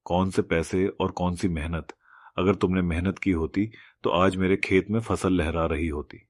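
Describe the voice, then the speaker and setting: Cartoon villain: stingy, stubborn rich landowner, played by a man, low-pitched, harsh, bossy, close dry sound. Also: fairly even intonation, normal speed, darkish tone, dry recording. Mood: angry, dismissive. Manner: harsh, scolding, domineering. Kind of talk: Hindi moral cartoon story acting.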